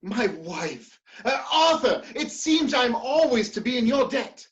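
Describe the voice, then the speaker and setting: Dignified statesman husband, played by a man, formal stage diction, high pitch with wide swings, dull lo-fi sound. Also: sing-song, measured pace, exaggerated voice. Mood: grateful. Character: earnest, dignified married gentleman politician. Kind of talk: theatrical dramatic reading of a period comedy.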